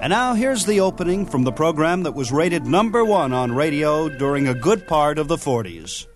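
A man's voice: fairly high pitch, sing-song, moderate pace, loud music behind the voice. An American man hosting a scripted retrospective program about old-time radio, introducing its segments.

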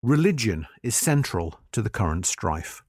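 Man narrating with lively, varied intonation. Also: fairly low pitch, moderate pace, slightly rough, no room sound, clean studio sound. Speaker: narrator of a history article. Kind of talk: scripted narration, read-aloud article.

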